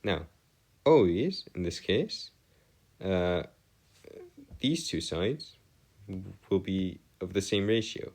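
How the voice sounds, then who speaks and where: A man with a low voice teaches math at a slow pace. His intonation swings widely as he goes. He speaks in formal, measured phrases and pauses deliberately while explaining each step. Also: expressive, darkish tone. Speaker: math tutor. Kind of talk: explanatory math lesson over worked diagrams.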